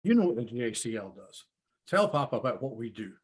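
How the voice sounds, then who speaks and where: A man's voice: mid-range voice, sing-song, quick pace, close to an everyday voice. A man playing an earnest, idealistic young Japanese American son who defends himself at a script reading. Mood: earnest, defensive. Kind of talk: script table read.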